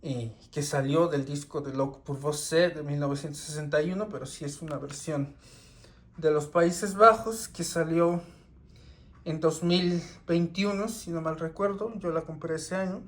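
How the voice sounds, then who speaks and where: A man, talking casually and unhurriedly through his CD collection, with many pauses and restarts. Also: fairly high pitch, fairly even intonation. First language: Spanish. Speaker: record collector.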